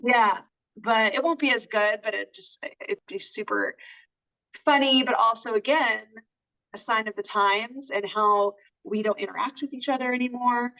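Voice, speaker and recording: A woman's voice: a little high, moderate pace, bright, forceful tone. A woman co-hosting a casual movie review over a remote call, speaking hesitantly with many fillers. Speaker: co-host. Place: in California. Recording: voice distant from the mic.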